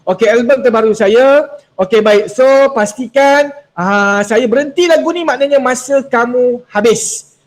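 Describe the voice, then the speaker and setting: High-voiced playful man teaching online. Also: measured pace. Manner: playful, teasing, slips into English. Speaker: workshop speaker. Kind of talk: lively online workshop talk. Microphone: video call.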